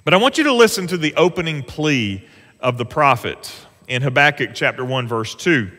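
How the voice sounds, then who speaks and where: A man delivers a sermon in a church sanctuary, his voice bright and a little high for a man. He preaches earnestly and conversationally, leaning into the scripture he reads aloud. The sound rings with the long reverb of a large hall. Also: lively intonation, moderate pace. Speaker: pastor.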